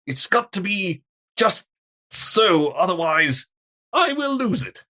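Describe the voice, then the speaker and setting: A man voicing a fussy, uptight control freak through clenched teeth, precise and quick to fury. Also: sits high, big pitch swings, sharp tone, voice pushed up, heavily acted. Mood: seething, exasperated.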